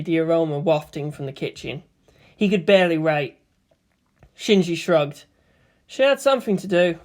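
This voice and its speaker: Expressive woman reading a story aloud. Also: lowish voice. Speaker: fan fiction reader. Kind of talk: scripted story narration with character voices.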